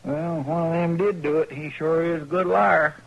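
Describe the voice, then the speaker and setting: An actor playing a folksy, respectful deputy sidekick in a radio western, with a rural American drawl. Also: male voice, fairly high pitch, fairly even intonation, normal speed, vocal fry. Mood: puzzled. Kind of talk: old-time radio western acting. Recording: dull, faintly hissy old radio recording.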